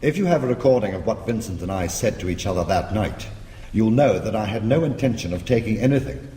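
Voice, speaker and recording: Male actor, British radio drama, quick-witted defiant criminal, animated intonation, long echoing room. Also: on the low side, lightly acted. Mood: defiant, arguing. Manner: insistent, sardonic. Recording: echoey, reverberant church-like space.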